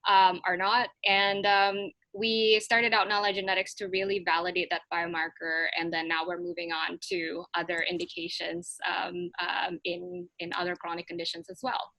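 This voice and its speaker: Bright-voiced woman on a panel. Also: on the low side, flat delivery, normal speed, hardly any pauses, no echo, low-quality recording. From Indonesia. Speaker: startup founder and panelist. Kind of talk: spontaneous panel answer.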